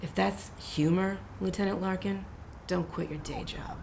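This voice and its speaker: A woman playing a gruff, sarcastic police lieutenant in an audio drama, commanding and curt. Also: medium pitch, steady tone, a little slow, a little mellow, a little hiss or hum. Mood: dry.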